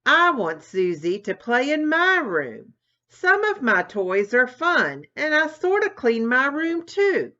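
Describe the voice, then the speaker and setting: A woman voicing a hot-tempered young boy turtle in a storybook, whiny and given to shouting. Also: sits high, big pitch swings, slow pace, lo-fi sound, pitched above the performer's own voice, exaggerated voice. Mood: sulky.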